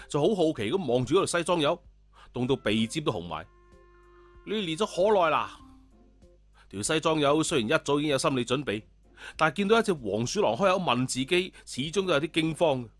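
A man narrating a scripted story in an animated storytelling style. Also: mid-range voice. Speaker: audiobook narrator. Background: background music.

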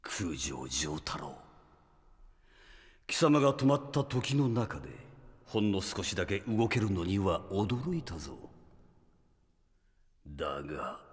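Arrogant sadistic anime villain, slow pompous gloating delivery, drenched in reverb. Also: male voice, deep voice, sing-song, heavily acted. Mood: gloating, menacing. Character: arrogant, sadistic male villain who stops time.

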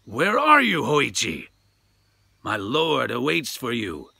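A man voicing a menacing, commanding ghostly samurai in a ghost story, with drawn-out calls. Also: medium pitch, huge pitch swings, vocal fry, pushed and bright, breathy edge, no echo, pitched above the performer's own voice, heavily acted. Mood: commanding, searching. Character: menacing ghostly male samurai hunting his prey.

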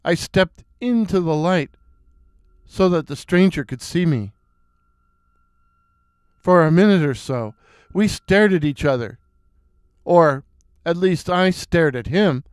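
Slow, dramatic male horror storyteller. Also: a little high, clear, resonant tone, dry recording. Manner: suspenseful, repeats words for effect. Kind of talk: first-person horror story narration.

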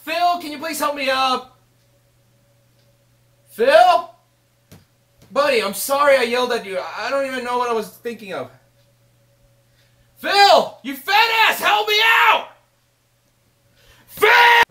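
Man acting as a whiny, exasperated roommate, lively pitch. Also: moderate pace, pauses often. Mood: frantic. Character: irritable, exasperated young male roommate complaining to camera. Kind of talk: mockumentary comedy sketch acting.

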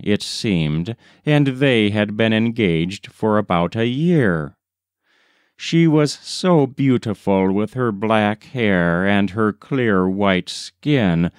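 A man reading a short story aloud as scripted literary narration, in a measured, deliberate storytelling manner. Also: fairly low pitch, no room sound, clean studio sound. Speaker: audiobook reader.

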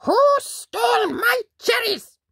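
A woman voicing a small, grumpy, possessive bat in a theatrical, drawn-out way for a children's picture book. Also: extremely high pitch, expressive, creaky, sharp tone, dry recording, clean studio sound, pitched above the performer's own voice, full character voice. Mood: outraged. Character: grumpy, dramatic, possessive little cartoon bat.

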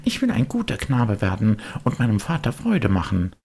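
A man's voice: fairly low pitch, huge pitch swings, moderate pace, creaky, darkish tone, husky, voice pushed up, heavily acted. A man voicing an eager, naive little wooden puppet boy, exclaiming and pleading in an audiobook. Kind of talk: audiobook character voice.

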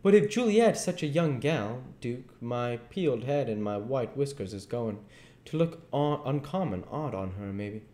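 An old rustic swindler who calls himself a king, eager and folksy, as played by a man reading a storybook. The voice sits raised in pitch, with room echo around it. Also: expressive, unhurried, warm tone, lightly acted. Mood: doubtful. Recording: echoey room.

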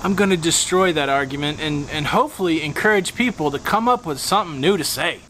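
A man's voice: on the high side, expressive, a little fast, loud music behind the voice, low-quality recording. A man in his forties hosting a travel vlog, making a casual, argumentative case full of rhetorical questions and punchy emphasis, filmed outdoors on a pool patio. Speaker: host of a cruise travel channel.

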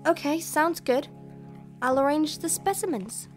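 An actress playing a principled young science student, earnest and conscientious, in a sci-fi audio drama. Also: female voice, high-pitched, a little slow, faint music behind. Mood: agreeable. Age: young adult.

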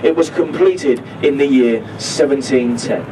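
A man's voice: medium pitch, animated, unhurried, recorded from a distance. Man, tour commentary over a boat PA, heard from afar, engine and street noise behind. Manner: chatty, jokey tour patter. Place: open deck of a river boat, outdoors. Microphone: handheld microphone over a boat speaker, filmed from a distance.

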